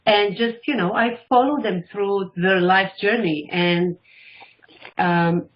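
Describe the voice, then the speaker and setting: A woman's voice: mid-range voice, takes it slowly. A middle-aged woman from Israel, a life coach speaking as a radio guest, telling her story with animation and acting out what people said. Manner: animated storytelling, acts out quoted dialogue. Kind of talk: casual interview talk. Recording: dull lo-fi audio.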